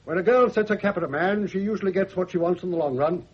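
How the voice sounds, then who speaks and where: High, husky crotchety old British general. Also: male voice, quick pace. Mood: testy. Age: older. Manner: blunt, bossy, opinionated. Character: crotchety, domineering old retired general and father. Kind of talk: 1970s radio drama acting. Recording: dull lo-fi old radio recording.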